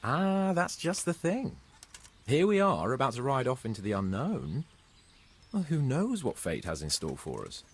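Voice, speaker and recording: A man's voice: mid-range voice, big pitch swings, unhurried, slightly rough, exaggerated voice. A young man playing a pompous, romantic young nobleman in a video game cutscene, speaking in a lordly, theatrical way. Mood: dramatic. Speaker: game character.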